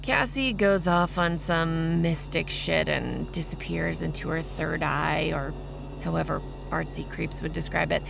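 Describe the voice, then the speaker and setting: Gravelly, pushy young vampire sorceress. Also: female voice, deep voice, a little slow, full character voice. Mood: sardonic narration. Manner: sardonic, commanding. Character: pushy, foul-mouthed teenage-looking female vampire sorcerer. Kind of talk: audio drama acting with first-person narration. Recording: music behind the voice.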